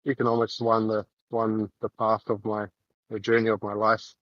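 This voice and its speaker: A man from New Zealand with a New Zealand accent, chatting casually on a voice call while walking outdoors, halting and full of fillers. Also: lowish voice, completely flat delivery, no room sound, muffled recording. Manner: rambling, halting, many fillers.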